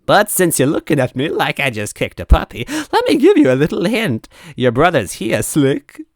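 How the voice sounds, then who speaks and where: A man's voice: fairly high pitch, wildly swooping pitch, dry recording, studio quality, pitched above the performer's own voice, full character voice. A man voicing a mischievous, taunting male ghost in a story reading, with slangy, teasing delivery. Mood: taunting, gleeful. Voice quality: distorted. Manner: teasing, slangy, drawn-out exclamations.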